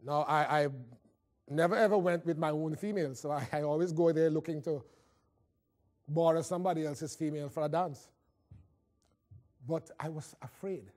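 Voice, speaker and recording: A man's voice: fairly high pitch, normal speed, long pauses, darkish tone. A man with a Caribbean accent giving a motivational talk, telling humorous personal stories in a theatrical, conversational way. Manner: theatrical storytelling, acting out dialogue. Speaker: motivational speaker.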